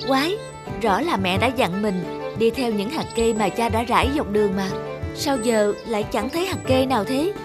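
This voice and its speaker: A woman's voice: fairly high pitch, expressive, moderate pace, close to an everyday voice. A woman voicing a plucky young peasant daughter lost in a fairy-tale cartoon, politely spoken and wondering aloud. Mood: puzzled. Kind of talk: animated fairy tale acting. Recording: music behind the voice.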